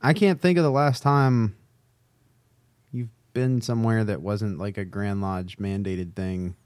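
A male co-host with a voice a little on the low side and a dry, room-free sound. He talks casually and wryly, trading banter on a podcast. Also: unhurried, darkish tone, dry recording.

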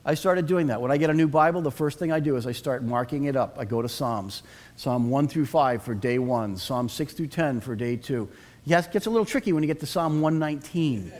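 A man's voice: fairly high pitch, a little mellow. Earnest man preaching a sermon. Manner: earnest, asks the congregation to respond. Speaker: pastor.

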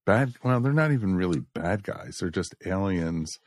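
A man's voice: low voice, lively intonation, normal speed, a little mellow, no echo. A man chatting casually as a co-host on a review podcast. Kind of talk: casual chat.

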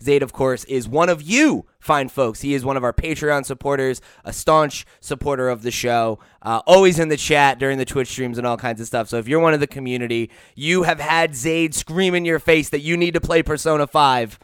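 A man's voice: medium pitch, a little fast, few pauses, smooth, dry recording, clean studio sound. A man hosting a video game podcast, upbeat and playful, chatting casually with lots of "you know" and "right". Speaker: podcast host.

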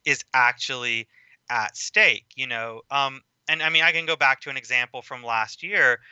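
A man talks through a film discussion as a podcast guest, steady and explanatory, laying out his points at length. His voice is very bright and crisp with a smooth clarity. The recording is dry and a touch dull, lo-fi podcast audio. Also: medium pitch, no echo. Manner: measured, explanatory, lecturing at length.

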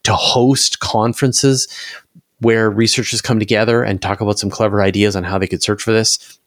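A man's voice: fairly low pitch, little pitch movement, moderate pace, no echo, studio quality. A man talking enthusiastically and emphatically in a conversational science discussion, as an interview guest.

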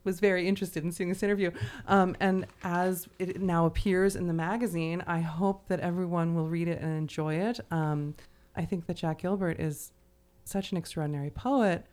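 Woman podcast host, voice a bit on the low side, chatting about books, says like and you know a lot. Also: steady tone, normal speed, a little mellow. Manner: conversational, frequent "like" and "you know". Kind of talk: casual literary discussion.

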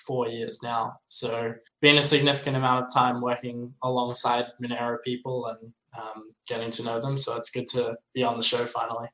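An Australian man speaks as an interview guest, explaining things at a medium pitch. His voice comes through with a clearly roomy echo, as on a remote call from indoors. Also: little pitch movement, moderate pace, hardly any pauses, fairly bright tone. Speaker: guest, software project developer. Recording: roomy, echoey sound.

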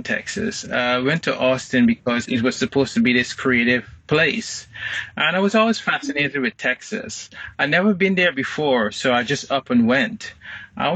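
Middle-aged Guyanese man, bright dry voice. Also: medium pitch, slightly rough, dry recording. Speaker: guest, photographer. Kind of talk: casual interview answers.

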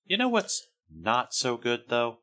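Brief: male podcast co-host, bright, animated delivery, sarcastic and wry. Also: medium pitch, measured pace. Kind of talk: casual podcast chat about music history.

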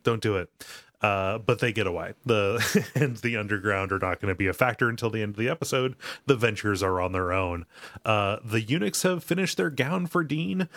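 A man with a deep voice co-hosting a casual podcast, wry and teasing in his banter. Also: normal speed, fairly bright tone, no echo. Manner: wry, teasing, laughs often. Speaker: podcast co-host. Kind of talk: casual chat, joking banter.

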